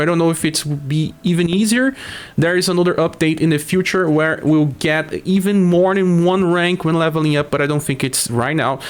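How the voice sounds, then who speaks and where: A man with a Brazilian Portuguese accent and a sore throat from the flu, hosting his gaming channel and explaining game news in a casual way with many pauses. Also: high-pitched. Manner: explanatory, talks through stats with frequent "uh" pauses.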